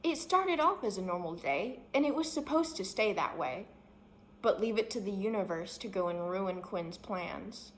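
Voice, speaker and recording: A woman narrates a story from a script. Her pitch is medium for a woman, and there is faint noise behind her. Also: a bit bright, poor audio. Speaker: story reader.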